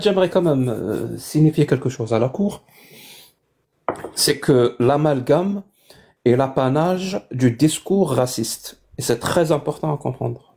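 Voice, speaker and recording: Emphatic man with a mellow voice. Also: mid-range voice, gravelly. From Algeria. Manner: emphatic, formal, rhetorical. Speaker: debater. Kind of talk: live-stream debate.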